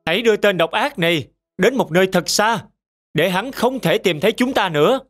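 A man's voice: high voice, lively intonation, moderate pace, fairly bright tone. A man playing a young, commanding hero in a children's fairy tale cartoon. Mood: resolute.

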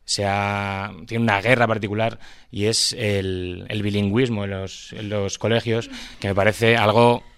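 A man's voice: fairly low pitch, flat delivery, measured pace, a bit bright, clean tone, no echo. A man with a Madrid Spanish accent, a schoolteacher speaking off the cuff at a public debate, feeling his way through his points with frequent tag questions. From Madrid, Spain. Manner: hesitant, searching for words, frequent tag questions. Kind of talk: impromptu speech at a public debate.

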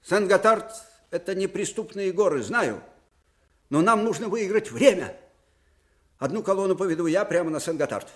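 Casting: elderly, shrewd commander for radio drama, fairly high male voice, fervent, abrupt, theatrical. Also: lively intonation, normal speed, long pauses. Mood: resolute. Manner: theatrical, emphatic, abrupt shifts from outburst to hushed confiding. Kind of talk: Soviet radio drama acting.

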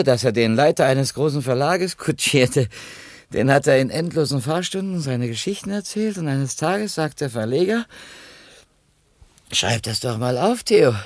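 Effusive, lively-voiced male editor. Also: mid-range voice, no room sound. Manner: effusive, courteous, articulate. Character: talkative male publishing editor, enthusiastic and courteous. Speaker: actor in a radio play. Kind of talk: 1970s German radio drama acting.

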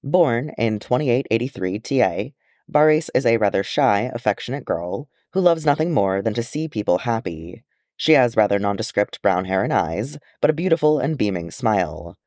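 A man reading fantasy fiction aloud as an expressive storyteller. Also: medium pitch, moderate pace, dry recording, studio quality. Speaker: amateur audiobook reader. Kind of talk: expressive audiobook narration of fantasy fan fiction.

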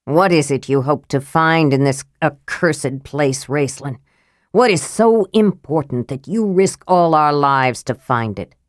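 A woman doing a stern, quiet young knight. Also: low-pitched, expressive, a little slow, no room sound, studio quality. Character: grave, honorable young male knight. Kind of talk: audiobook character voice.

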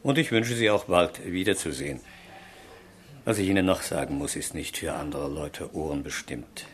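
Very deep-voiced courtly count. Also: male voice. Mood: discreet, awkward. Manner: formal, polite, measured. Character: courtly, discreet aristocratic count of high rank. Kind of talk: German literary radio drama.

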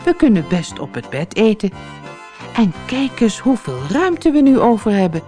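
A woman's voice: mid-range voice, sing-song, normal speed, mellow, faint music behind, voice pushed up, lightly acted. A woman voicing a cheerful, optimistic old wife in a storybook fairy tale, upbeat and unbothered. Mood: cheerful, reassuring. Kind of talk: storybook character voice.

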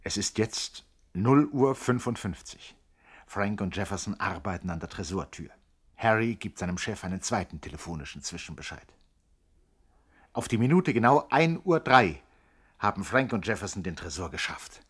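An actor as the narrator of a 1960s German radio play, suspenseful and grandly mock-sensational. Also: male voice, fairly low pitch, expressive, normal speed, fairly bright tone, breathy edge. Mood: suspenseful, precise.

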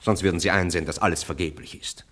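An actor in a classic German radio play, playing a worldly, cynical friend with a deep voice and a sardonic, reasoning manner. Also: male voice, steady tone, fast talker, a bit bright. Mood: reasoning, exasperated. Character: worldly, cynical male friend Max, persuasive.

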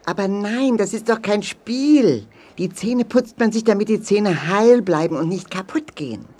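Eine Schauspielerin spricht einen freundlichen Zahndoktor in einem Kinderhörspiel, mit rauchiger Stimme, eifrig erklärend, betont und ermutigend. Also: female voice, mid-range voice, expressive, unhurried, dark tone. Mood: eagerly instructive. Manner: emphatic, explanatory, encouraging. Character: friendly, instructive tooth-care doctor, eager teacher. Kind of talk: children's audio drama.

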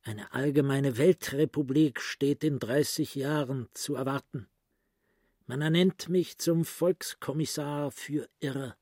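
An actor playing a brooding, grandiose psychiatrist, speaking his inner thoughts softly and deliberately in a literary radio play. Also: mid-range voice, dry recording, clean studio sound. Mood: bitter, grandiose.

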